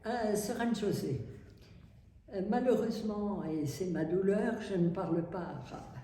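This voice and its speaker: An older woman from France, a Carmelite nun, speaking in a halting, wry way with many restarts. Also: mid-range voice, mellow. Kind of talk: interview testimony. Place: nursery building in Syria. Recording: echoey room, distant mic, faint noise.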